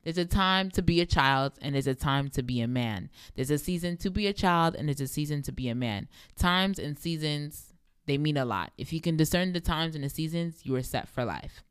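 Deep voiced young woman doing a faith podcast, smooth and emphatic, dry close mic. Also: expressive, moderate pace, dry recording. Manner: emphatic, repeating phrases for effect. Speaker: podcast host. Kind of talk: devotional podcast talk.

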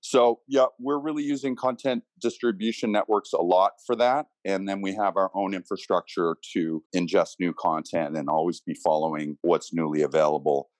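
A man with a fairly low voice explains technical matters as a panel guest. He is conversational and a little halting, and the sound is dry, with no room echo. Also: a little mellow.

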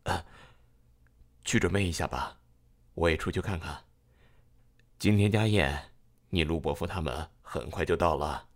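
A man playing a genial middle-aged father in an audio drama, gently probing and hospitable. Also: deep voice, measured pace, long pauses. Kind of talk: modern audio drama acting.

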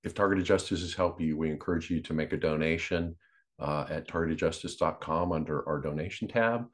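Deep-voiced man explaining matter-of-factly. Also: a little fast. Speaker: co-host of an advocacy podcast. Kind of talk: unscripted explanatory talk.

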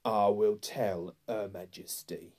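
A huge grey storybook wolf, acted out by a man, with a dark, growling voice. He speaks in slow, commanding threats, like a cold guard at a gate. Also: fairly low pitch, steady tone. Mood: cold, stern. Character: huge menacing grey wolf, chief of secret police. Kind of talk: storybook character voice.

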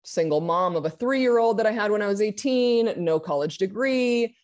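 A woman's voice: mid-range voice, animated, darkish tone. A woman who grew up in Argentina, a guest speaking candidly in a casual interview. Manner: candid, quick, many restarts.

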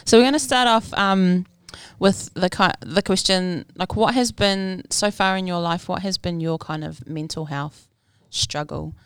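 A woman's voice: lowish voice, fairly even intonation, measured pace, hardly any pauses, clean tone, dry recording. A woman hosting a podcast in an upbeat, casual manner. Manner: upbeat, friendly hosting with filler words. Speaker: podcast host. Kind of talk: casual chat.

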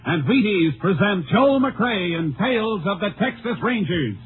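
A male announcer with a high-pitched voice introduces an old radio program. The tinny recording has loud music behind him. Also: sing-song, measured pace. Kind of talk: 1950s radio show introduction.

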